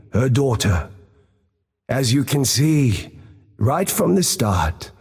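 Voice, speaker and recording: A serene forest tribesman played by a man teaches fantasy lore. His voice is husky and unhurried, contemplative and deliberate, with long, patient phrasing. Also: mid-range voice. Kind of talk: scripted lore monologue for a fantasy game.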